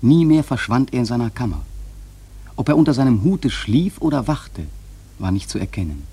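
A man acting a grave sailor who narrates a radio play in a measured, solemn literary style with dramatic swells and a husky voice. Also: medium pitch, animated, warm tone. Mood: solemn, ominous. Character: grave male sailor narrating a haunted whaling voyage.

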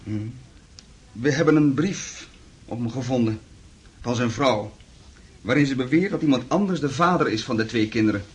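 Reserved, formal policeman, husky mid-pitched voice, calm factual delivery, radio play. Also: moderate pace, long pauses. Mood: matter-of-fact. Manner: matter-of-fact, reserved. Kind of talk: radio drama acting.

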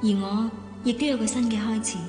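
An actress playing a sincere, polite young private secretary in a radio drama, mild and earnest, with a Hong Kong Cantonese accent. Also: female voice, a little high, fairly quick, music in the background, lightly acted. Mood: hopeful, reflective.

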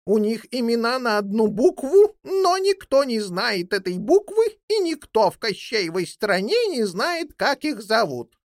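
A woman voicing an old female jackdaw in a children's fairy tale, motherly, bossy and theatrical, with drawn-out emphatic delivery. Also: fairly high pitch, big pitch swings, no echo, studio quality, pitched above the performer's own voice. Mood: earnest, excited.

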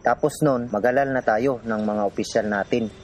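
A man's voice: mid-range voice, fairly even intonation, a little fast, darkish tone, slight background noise, lightly acted. A man playing an earnest, determined activist from the urban poor, telling his story in a radio drama. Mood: earnest rallying. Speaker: narrator and protagonist. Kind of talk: radio drama narration and dialogue. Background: music.

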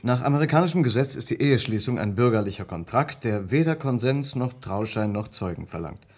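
A man's voice: mid-range voice, animated, brisk, darkish tone. A man playing an earnest, inquisitive lawyer in an old German radio crime play, with a precise, formal delivery. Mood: matter-of-fact. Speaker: narrator and lead character. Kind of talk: German radio crime play acting from the mid-20th century.

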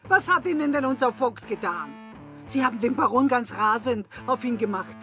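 A pert young maid in a radio play, outspoken and saucy. Her voice is fairly high and very lively, stressing words emphatically. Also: normal speed, faint music behind. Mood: flustered, scolding. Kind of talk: German radio play acting.

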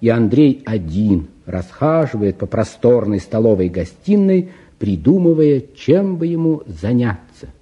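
A dull old radio recording carries a male storyteller with a husky, deeply mellow voice. His pitch moves freely as he introduces his characters fondly and addresses the listener. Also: big pitch swings, unhurried, few pauses. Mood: descriptive, calm. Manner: addresses the listener directly, unfolding the scene. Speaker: narrator. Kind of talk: theatrical narration of a radio play.

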